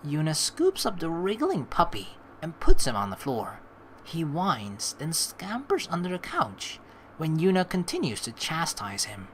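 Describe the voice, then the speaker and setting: A woman with a low, lively voice reads a visual novel aloud as a let's play host. Her pace is unhurried and her pitch moves a lot, with music behind her. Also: slight background noise. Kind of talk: expressive read-aloud narration of a visual novel. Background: game music.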